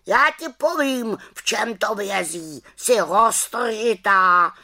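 A woman's voice: mid-range voice, expressive, bright, forceful tone, pitched above the performer's own voice. A woman voicing a wise, nagging male raven in a children's storybook, scolding and squawking as it talks. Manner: scolding, exclaiming, squawking delivery.

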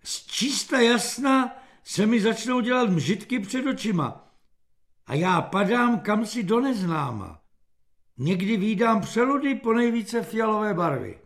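Man playing a cheeky, high-pitched army private. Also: expressive, a bit bright. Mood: innocent complaining. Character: cheeky army private playing innocent before his superiors. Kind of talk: audiobook character acting.

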